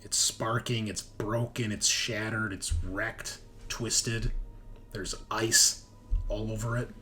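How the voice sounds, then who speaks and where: Slow, dramatic male game master. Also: lowish voice, level tone, bright, forceful tone, slight background noise. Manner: deliberate short phrases with suspenseful pauses. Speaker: game master of a tabletop roleplaying game. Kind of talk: dramatic improvised narration with table talk. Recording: music behind the voice. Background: background music.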